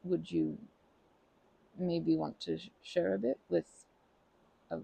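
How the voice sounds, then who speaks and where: A woman playing a timid, kind park regular in an ASMR roleplay, speaking softly in halting, stammering, self-correcting sentences. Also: lowish voice, fairly even intonation, vocal fry, slightly rough, exaggerated voice. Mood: gentle, empathetic. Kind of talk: ASMR roleplay, improvised-sounding intimate monologue.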